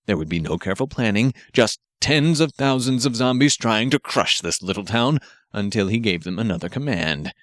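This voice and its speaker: A man's voice: lowish voice, animated, moderate pace, fairly bright tone, dry recording, studio quality. A man narrating an action story as a scripted audiobook reading.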